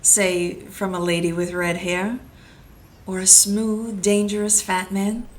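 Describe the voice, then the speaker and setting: A woman's voice: mid-range voice, level tone, slow, slight background noise, close to an everyday voice. Woman playing a wisecracking hardboiled private eye. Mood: cool. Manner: sardonic, clipped, hardboiled.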